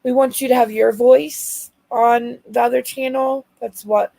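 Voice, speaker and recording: An American woman with a raspy voice co-hosting a casual livestream, hesitant and full of ums. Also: on the high side, steady tone, unhurried, warm tone. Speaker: livestream co-host.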